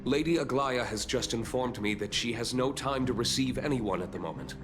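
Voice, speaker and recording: A nervous male servant in a fantasy game , acted by a man, speaks at a medium pitch. He is polite, hesitant and deferential, with music playing behind. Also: fairly quick, close to an everyday voice. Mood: apologetic. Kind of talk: video game voice acting.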